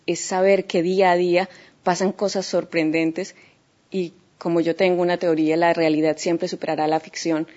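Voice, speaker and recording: A woman from Colombia with a Colombian Spanish accent, speaking thoughtfully in an unscripted account, pausing often with fillers and restarts. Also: on the low side, darkish tone. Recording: dull lo-fi recording.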